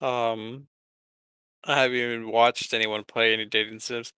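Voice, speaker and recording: A man talks in a bright, crisp voice with a very dry, close sound. He chats casually like a podcast co-host, sometimes halting as he finds his words. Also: medium pitch, little pitch movement, no echo. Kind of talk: casual podcast chat about games.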